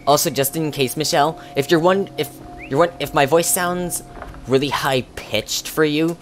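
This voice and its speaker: A man's voice: a little high, normal speed, soft background music. A young man streaming a game, rambling casually with many restarts and fillers. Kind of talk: casual livestream commentary.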